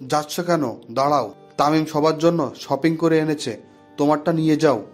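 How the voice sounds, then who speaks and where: A man's voice: a little high, normal speed, faint music behind, lightly acted. A man playing a kind but firm father-in-law in an audio story, speaking in a fatherly way. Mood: firm concern. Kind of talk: Bengali audio story acting.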